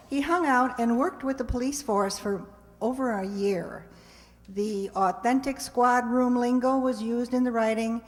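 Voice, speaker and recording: Higher-voiced woman hosting in a hall. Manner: chatty, addressing the audience. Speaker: host introducing a live radio play. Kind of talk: spoken introduction to a live audience. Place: live stage in a hall with audience. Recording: reverberant hall.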